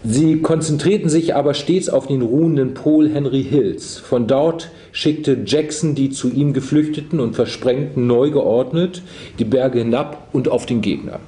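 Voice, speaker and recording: A man's voice: mid-range voice, measured pace, warm tone. A man reading historical prose aloud at a live reading, in a roomy space. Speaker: reader at a literary reading. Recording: roomy echo, faint background noise.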